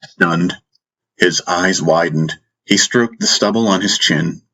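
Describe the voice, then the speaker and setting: A man's voice: deep voice, slow pace, pushed and bright, rough recording. A man reading a fantasy audiobook as a dramatic first-person narrator, solemn and intense.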